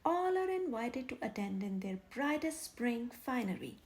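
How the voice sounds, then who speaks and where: A woman's voice: on the high side, very animated, measured pace, a little mellow. A woman voicing a cheerful, hearty father bear in a children's storybook, enthusiastic and reassuring. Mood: cheerful, eager.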